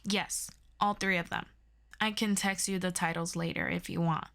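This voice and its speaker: A woman playing an earnest, excitable superhero best friend in an ASMR audio roleplay, chatty and expressive as she tutors a listener. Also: fairly low pitch, moderate pace. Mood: encouraging, playful. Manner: chatty and expressive, with interjections and sighs. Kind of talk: ASMR audio roleplay, scripted one-sided conversation.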